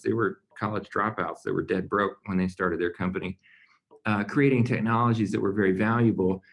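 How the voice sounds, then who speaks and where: Gravelly low-voiced man hosting a call. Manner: reflective, big-picture, hands over to the next speaker. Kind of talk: presenting on a conference call.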